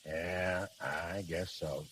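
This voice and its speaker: A man's voice: sits low, fairly even intonation, extremely slow, a bit bright, exaggerated voice. A man acting a homespun, bashful middle-aged American husband in a 1930s radio drama, hesitant and folksy. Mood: sheepish. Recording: hissy, crackling 1930s radio recording.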